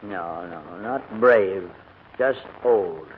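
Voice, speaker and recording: An actor playing a stubborn, dignified old English gentleman held prisoner, speaking with restrained earnestness in a 1940s radio drama. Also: low voice, extremely slow. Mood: weary, earnest. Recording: thin, phone-like 1940s radio recording, hiss.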